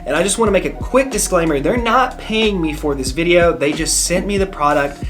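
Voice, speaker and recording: A man's voice: a little high, a little fast. A young man, a musician, casually reviewing a microphone in an upbeat, chatty way and explaining the tech terms plainly. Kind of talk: casual product review vlog. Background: background music.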